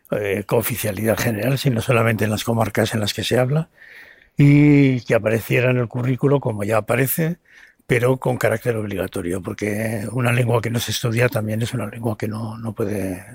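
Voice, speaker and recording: A man's voice: on the low side, fairly even intonation, moderate pace, gravelly, husky, no echo. Hesitant Spanish man interviewed, dry close sound. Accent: Spanish from Spain. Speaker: writer and historian being interviewed. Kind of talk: unscripted interview answers.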